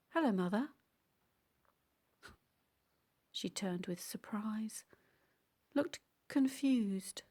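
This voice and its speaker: A woman acting an intimate audio-play monologue as a calm, obsessive, secretly dangerous woman, confiding in a measured, often hushed and breathy way. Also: medium pitch. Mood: cold, hushed. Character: calm, obsessive, delusional woman abandoned as a baby, secretly murderous. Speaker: actor in a monologue.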